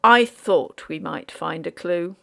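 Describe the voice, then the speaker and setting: A woman voicing a calm, curt gentleman investigator in a ghost story audiobook, quiet and understated, with a hard edge. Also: lowish voice, takes it slowly, gravelly, full character voice. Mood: hard, controlled. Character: Trevennan, calm curt civilian man investigating a haunted house.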